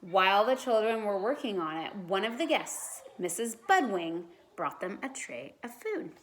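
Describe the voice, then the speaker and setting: A woman's voice: medium pitch, very animated, normal speed, a little hiss or hum, poor audio. A woman reading a children's storybook aloud like a teacher, expressively and with dramatic emphasis.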